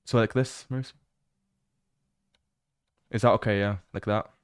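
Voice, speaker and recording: A young British man with a fairly low voice, talking into a desk mic in a dry, close sound. He rambles casually with a stream audience, swearing freely. Now and then he breaks into excited exclamations. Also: slow pace, clear, resonant tone, dry recording. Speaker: livestreamer. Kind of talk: casual livestream chat while exploring a game.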